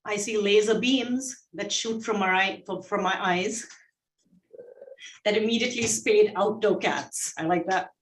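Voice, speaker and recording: Woman, Indian accent, gentle call co-host, pausing often; roomy, off-mic sound. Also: mid-range voice, far from the mic. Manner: gentle, many fillers and pauses. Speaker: guest co-host, shelter veterinary director. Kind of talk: casual video call chat. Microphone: video call.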